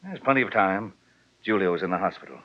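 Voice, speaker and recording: An actor playing a distraught, menacing American horse owner, husky and clipped, in 1940s radio style. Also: male voice, low-pitched, expressive, a little fast. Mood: guarded, bitter. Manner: clipped, terse. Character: gruff, distraught, menacing racehorse owner with henchmen. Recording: dull, band-limited old radio recording.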